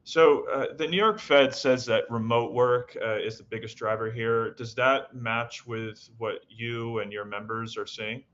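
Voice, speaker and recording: A man's voice: mid-range voice, steady tone, measured pace, hardly any pauses, a bit bright, dull audio. A man hosting a live news show in a conversational delivery that stumbles and repeats words. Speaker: news host.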